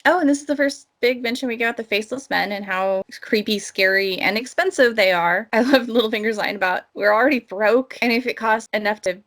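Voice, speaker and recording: A woman's voice: fairly high pitch, fairly quick, few pauses, a bit bright. A woman co-hosting a casual book discussion, conversational and playfully sarcastic. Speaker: podcast co-host.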